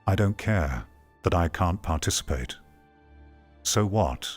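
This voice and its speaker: Low voiced guy streaming blunt opinionated commentary, kinda ranty, music playing behind him. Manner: blunt, ranting, swearing.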